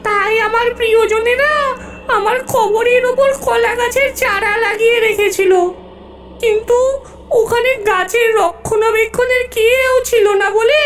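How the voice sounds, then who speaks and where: A woman's voice: extremely high pitch, steady tone, unhurried, soft background music. A woman voicing a theatrical, wailing female ghost in a cartoon. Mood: plaintive, defiant. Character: shrill female banana-loving ghost searching for lost husband. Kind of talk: cartoon voice acting.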